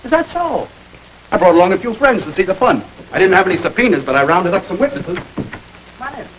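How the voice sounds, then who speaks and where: Breezy gangster, very lively pitch. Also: male voice, quick pace, lo-fi sound. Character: breezy wisecracking ex-convict gangster sidekick. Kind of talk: old-time radio crime drama acting. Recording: noisy vintage radio recording.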